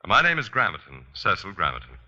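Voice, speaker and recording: An actor playing a courtly, hesitant, ingratiating con artist in a 1940s radio drama. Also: male voice, low-pitched, wildly swooping pitch, fast, pushed and bright, full character voice. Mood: suave charm. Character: smooth-talking male con artist feigning old acquaintance. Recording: tinny old 1940s radio drama recording, faint music.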